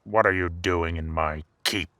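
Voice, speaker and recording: A man's voice: deep voice, fairly even intonation, unhurried, bright, forceful tone, no room sound. A man playing an old, indignant and fearful king in a fantasy audio drama, faltering as he clings to his authority.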